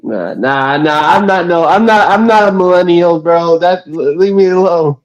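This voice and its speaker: A man's voice: sits high, measured pace. A man in his mid-twenties chatting casually on a podcast, easygoing and slangy, with lots of "bro" and "real talk.". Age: 20s. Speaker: podcast guest. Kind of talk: casual chat.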